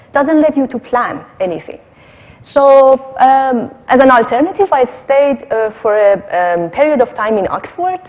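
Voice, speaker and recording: A woman's voice: on the high side, a little mellow. A woman from Iran, a researcher on a panel, telling a personal story conversationally and often checking in with "right?". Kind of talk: personal anecdote in panel discussion. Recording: long hall reverb.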